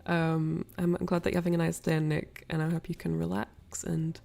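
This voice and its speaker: A woman's voice: sits low, completely flat delivery, moderate pace, creaky, dark tone. A woman hosting a casual livestream, rambling and apologetic, sniffly with a cold as she chats to viewers. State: ill with a cold, sniffly, coughing. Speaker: livestream host.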